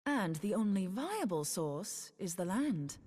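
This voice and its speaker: A cheeky, practical inventor in a fantasy video game. She speaks with breezy confidence and a very lively, swinging melody. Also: mid-range voice, takes it slowly, slightly rough, exaggerated voice. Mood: confident, keen. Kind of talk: scripted fantasy game dialogue.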